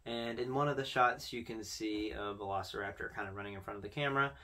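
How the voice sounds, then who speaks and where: A man's voice: lowish voice, fast, pushed and bright. A young man hosting a video with casual, informal commentary, talking conversationally to camera. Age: young adult. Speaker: video host. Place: small indoor room with posters.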